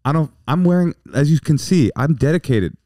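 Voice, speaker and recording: Podcast co-host, male, playful storyteller, lively pitch, dry studio sound. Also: fairly quick, dry recording. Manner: jokey, animated, acts out quoted voices. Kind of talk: casual banter.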